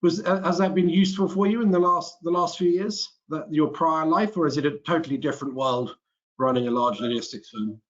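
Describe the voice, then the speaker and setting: A man's voice: fairly high pitch, a little fast. Muffled middle-aged man presenting on a webinar. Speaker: company chief executive and webinar presenter.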